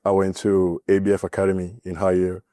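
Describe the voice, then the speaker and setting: A young man from Cameroon, a college basketball player giving a podcast interview, speaking haltingly with many fillers. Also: deep voice, monotone, warm tone, dry recording, studio quality. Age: young adult. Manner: halting, filler-heavy, nervous.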